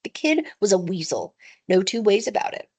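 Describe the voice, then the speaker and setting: Female storybook narrator, teacherly, expressive and animated, medium pitch, with dramatic emphasis. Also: moderate pace, husky. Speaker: teacher reading aloud.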